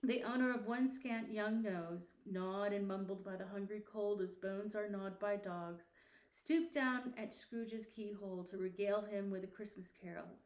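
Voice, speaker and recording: A woman reading a classic story aloud as narrator, with expressive, theatrical, deliberate phrasing. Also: mid-range voice, roomy sound. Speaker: audiobook narrator.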